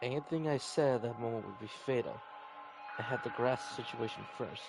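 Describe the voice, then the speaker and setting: A man's voice: medium pitch, brisk, vocal fry, noisy background. A man reading a visual novel game aloud in a dramatic way, with offhand reactions of his own. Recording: game music behind the voice. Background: game music.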